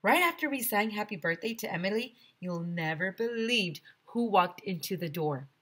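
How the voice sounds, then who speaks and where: A woman's voice: mid-range voice, lively intonation, normal speed, fairly bright tone. A woman teacher reading a children's story aloud expressively, with chatty asides to young listeners.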